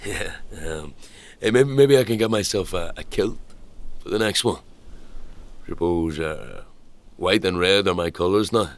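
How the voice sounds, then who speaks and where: An actor playing an awkward, wry fantasy fighter in naturalistic audio drama dialogue, hesitant and dry-humoured. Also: male voice, low-pitched, measured pace. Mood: awkward, joking. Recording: crowd ambience, faint background noise.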